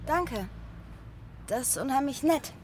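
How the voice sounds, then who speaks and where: Lively, curious young woman. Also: sits high, slow pace, over a noisy crowd. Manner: direct, inquisitive. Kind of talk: radio play acting.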